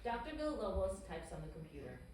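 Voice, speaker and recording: A woman's voice: medium pitch, very animated, fast, darkish tone. A woman reading scripted stage directions as narrator at a table read. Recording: very echoey room, distant mic, noisy.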